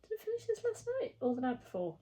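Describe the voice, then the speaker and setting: A woman's voice: sits high, wildly swooping pitch, fast talker, breathy edge. A woman, a bit bunged up with a cold, chatting casually and rambling in a craft vlog at home. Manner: rambling, chatty, soft-spoken with frequent fillers. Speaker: flosstube vlogger. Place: her home.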